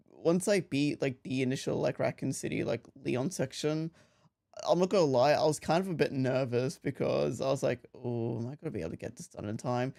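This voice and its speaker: A young man from Australia talks casually on a live game stream, his voice sitting a little higher than most men's. He rambles, restarts his sentences and laughs often as he chats with viewers. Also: creaky, darkish tone. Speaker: livestreamer. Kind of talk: casual live-stream chat while gaming.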